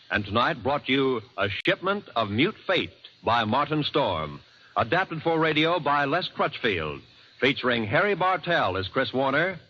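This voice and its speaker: An American man reads as a formal 1940s radio announcer. His voice is bright and forceful, with emphatic, swooping intonation. The old recording is muffled with faint noise. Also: medium pitch, slightly rough.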